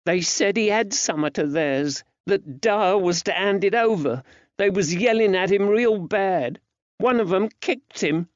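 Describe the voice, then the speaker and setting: A frightened young working-class lad, played by a male narrator, speaks in a high, plaintive voice with rustic old-fashioned dialect. He sounds grief-stricken and earnest, in an audiobook story on a dry, slightly dull recording. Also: lively intonation, measured pace, no echo. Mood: shaken.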